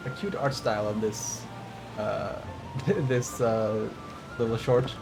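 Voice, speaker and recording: A man's voice: fairly low pitch, slow pace, vocal fry, music in the background, poor audio. A man livestreaming a game, reading text aloud and drifting into rambling, enthusiastic asides. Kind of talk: game stream commentary, reading on-screen text aloud and chatting.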